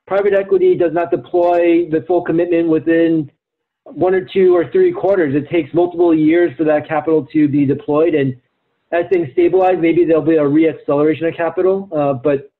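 A man speaking as an investment executive on a client call, explaining in a halting way with many fillers and repeated words. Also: on the high side. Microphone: phone or conference call line. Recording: thin, phone-like conference call line.

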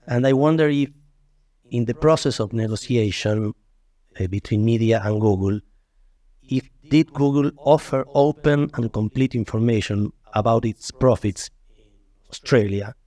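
A man's voice: mid-range voice, moderate pace, mellow. A man from Argentina with an Argentine accent, a media representative putting a question to a panel. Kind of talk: conference question.

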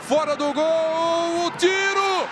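A man calling football goals live, stretching out his shouted goal calls. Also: extremely high pitch, fairly even intonation, slow pace. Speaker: football commentator. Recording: loud crowd and music behind.

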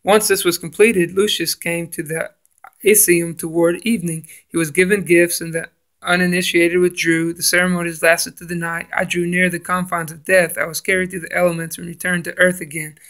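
A man's voice: sits high, flat delivery, a little fast, a bit bright. A man reading passages from a book aloud and adding his own comments, stumbling and restarting on hard names. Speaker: religious video maker. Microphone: phone camera filming a book page.

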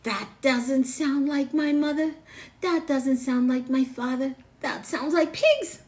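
A woman voicing a cheeky, stubborn young schoolgirl in a storybook read-aloud, loud and sing-song, stretching her words for emphasis. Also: high voice, slow pace, voice pushed up. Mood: puzzled.

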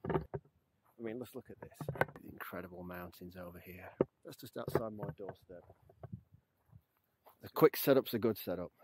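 A man in his thirties chatting casually to a handheld camera while hiking outdoors, upbeat and easygoing. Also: lowish voice. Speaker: hiking vlogger. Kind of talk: casual vlog narration to camera. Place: outdoors on a mountain trail. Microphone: action camera held at arm's length. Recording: clear outdoor noise behind.

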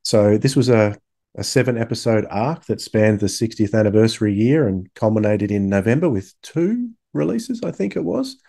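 A middle-aged man talks through reviews in casual podcast style over a video call. His voice is lowish and mellow. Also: expressive, moderate pace, creaky. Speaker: podcast panellist.

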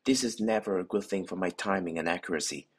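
A man narrating a scripted instructional voice-over, explaining technique in steady, slightly non-native phrasing. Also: low-pitched, quick pace, slightly rough. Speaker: bowling coach.

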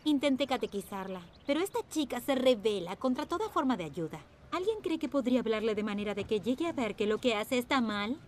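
An actress dubbing a preachy, devout teenage girl who leads a prayer group, earnest and rising to shrill. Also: expressive, fairly quick, hardly any pauses, a little mellow, slight background noise, natural voice. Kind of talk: Spanish film dub.

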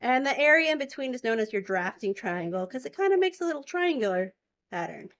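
A woman's voice: on the high side, very animated, a little fast, fairly bright tone. A woman in her thirties giving an informal hands-on demonstration, explaining things simply, recorded indoors on a camera a short distance away. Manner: explanatory, uses simple everyday comparisons. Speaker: fiber spinning demonstrator. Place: indoor farm shop with concrete floor. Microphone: handheld camera a short distance away.

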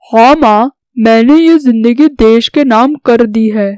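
A woman's voice: a little high, takes it slowly, darkish tone, clear, resonant tone, no room sound. A woman voicing an earnest, patriotic young man in a cartoon story, speaking in declarations. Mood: earnest, resolute. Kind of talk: scripted Hindi cartoon story dialogue.

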